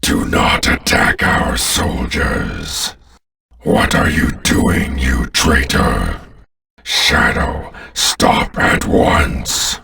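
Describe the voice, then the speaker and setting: A voice actor playing a menacing, commanding alien warlord in a video game, with a deep, gravelly voice. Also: male voice, big pitch swings, drawn-out words, sharp tone, distant mic, exaggerated voice. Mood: angry. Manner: commanding, contemptuous, grandiose.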